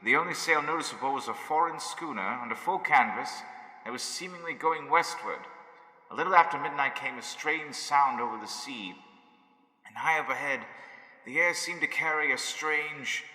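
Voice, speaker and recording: An actor playing a solemn newspaper correspondent, narrating a radio horror drama in a theatrical, measured, ominous way. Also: male voice, a little high, bright, forceful tone. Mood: ominous, dramatic. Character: solemn male newspaper correspondent reporting eerie events. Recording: echoey hall-like reverb.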